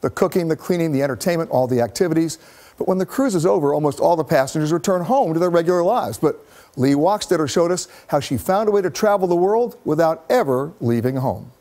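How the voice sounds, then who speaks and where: A man's voice: on the high side, animated, warm tone. A man in his sixties, a travel correspondent, narrating a news feature and chatting in the studio. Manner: brisk, conversational.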